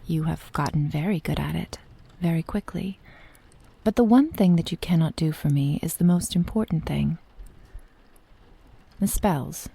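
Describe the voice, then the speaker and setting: A woman acts out an ancient witch in an intimate ASMR roleplay, her voice low and mellow. She speaks to her beloved apprentice in careful, old-fashioned phrases, tender yet possessive. The soft delivery often sinks into a breathy whisper, with some creak at the edges. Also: exaggerated voice. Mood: tender, musing. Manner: slow, formal archaic phrasing, deliberate pauses.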